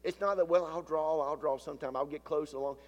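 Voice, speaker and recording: Stuffy-voiced preacher in a reverberant church. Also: male voice, a little high, fairly even intonation. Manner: preaching cadence, often swelling louder and slowing for emphasis. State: stuffy from a sinus issue, voice deeper than usual. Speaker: pastor. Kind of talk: sermon. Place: church sanctuary. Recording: long hall reverb from a church sanctuary.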